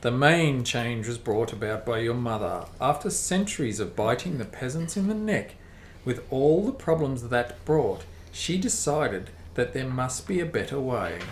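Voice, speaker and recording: A man's voice: mid-range voice, animated, measured pace, lightly acted. A man playing a genial, regal old vampire count in an amateur radio play, courtly and expansive. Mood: explaining. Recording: roomy, noisy home recording.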